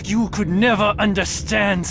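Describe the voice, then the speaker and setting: An actor playing an unhinged, fatalistic Nazi commander in a video game, bitter and grandiose, with deliberate delivery. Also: male voice, sits high, steady tone, vocal fry, background noise. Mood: grandiose, defiant. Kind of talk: dramatic video game cutscene acting. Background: loud cinematic music.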